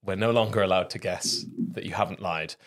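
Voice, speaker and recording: A Welsh man whose first language is Welsh, hosting a casual podcast, upbeat and quick to laugh. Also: low-pitched, a bit bright. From Wales. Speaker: podcast host.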